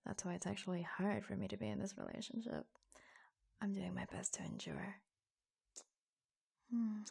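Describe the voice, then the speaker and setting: Flirtatious secret office lover, played by a woman: teasing, intimate ASMR roleplay, husky half-whispers with vocal fry. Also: medium pitch, steady tone, a little mellow. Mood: sultry, hushed. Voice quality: breathy, husky, often dropping to a whisper. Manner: slow, coy, teasing, giggly. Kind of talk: ASMR roleplay, intimate romantic audio drama.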